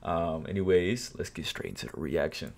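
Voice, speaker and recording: A young man in his twenties hosting a music reaction video, talking casually and softly at night, excited about the song. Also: low-pitched, moderate pace, creaky. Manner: enthusiastic, softly spoken at night. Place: small bedroom, indoors. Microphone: wired earbuds mic, webcam framing. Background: song playing faintly.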